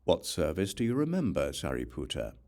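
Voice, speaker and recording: Man reading as a calm, deep-voiced spiritual teacher. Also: fairly quick, exaggerated voice. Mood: calm, instructive. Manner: solemn, instructive. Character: calm, authoritative male spiritual teacher of monks.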